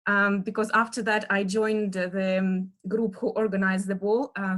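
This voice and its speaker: Russian-accented woman lecturing, full of fillers. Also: mid-range voice. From Russia (Western Siberia). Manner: many fillers, soft laughs, searching for words. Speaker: dance historian giving an online lecture. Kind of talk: hesitant online lecture. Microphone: video call.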